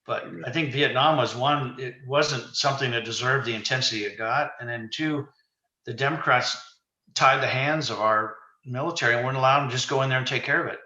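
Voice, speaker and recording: A man's voice: mid-range voice, a little fast, bright, forceful tone, echoey room, highs cut off. A man in his sixties chatting casually, opinionated and talking in long runs. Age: 60s. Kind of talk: casual chat.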